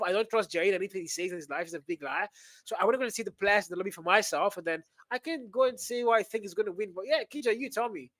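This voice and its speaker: Hoarse, excitable male caster. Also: high voice, no room sound. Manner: excitable, rapid-fire, jokey banter. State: hoarse, has lost his voice. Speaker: esports caster. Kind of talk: live esports commentary chat.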